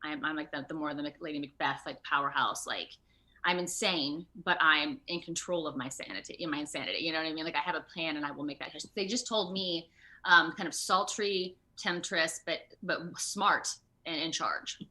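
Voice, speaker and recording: A woman speaking as a voice actor guest in a casual, chatty interview, expressive and thinking aloud as she goes. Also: sits low, a little fast. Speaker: guest, video game voice actor. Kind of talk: casual animated interview chat. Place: remote video call.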